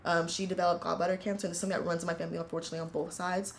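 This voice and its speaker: A woman in her twenties from the United States, telling her family story in an earnest, reflective interview, pausing often as she goes. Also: on the low side, little pitch movement, slight background noise. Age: 20s. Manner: earnest, reflective, halting with frequent fillers. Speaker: interviewee, university student. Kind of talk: casual personal storytelling.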